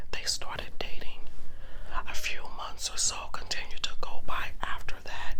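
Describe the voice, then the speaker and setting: A person telling a true crime story in an ASMR style, whispering softly and deliberately, with pauses and repeated phrases. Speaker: host and storyteller of an ASMR channel.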